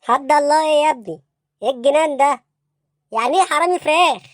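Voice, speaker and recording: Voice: sits high, fast talker, no echo, studio quality, lightly acted. A performer voicing a baffled, bossy police interrogator in an Egyptian comedy cartoon, brusque and insistent, with an Egyptian accent. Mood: exasperated, bossy.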